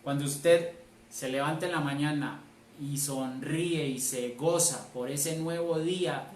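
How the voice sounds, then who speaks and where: A man's voice: fairly high pitch, steady tone, measured pace. A Colombian man, a church elder, preaching a sermon in an emphatic way, repeating key phrases. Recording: roomy, distant mic, faint noise.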